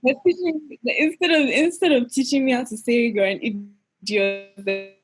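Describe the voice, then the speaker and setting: A young woman, a podcast guest, chatting in an animated, giggly way and peppering her speech with "like". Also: on the high side, moderate pace, low-quality recording. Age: young adult. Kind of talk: casual interview chat.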